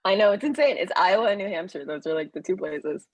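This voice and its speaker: A woman's voice: mid-range voice, animated, fast talker, dry recording. A young Kenyan-American woman as an interview guest, chatting in loose run-on phrases and laughing often. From Kenyan-American, raised in the US, Canada and South Africa. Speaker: guest, actor and writer. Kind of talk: casual interview chat.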